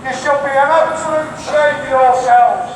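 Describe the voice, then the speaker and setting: A man's voice: very high voice, fairly even intonation, moderate pace. A Scouse man making a confrontational protest speech through a megaphone outdoors, taunting with repeated rhetorical questions. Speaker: street campaigner and protester. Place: outdoors on a London city street outside an office building. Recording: echoey megaphone outdoors, distant, traffic noise.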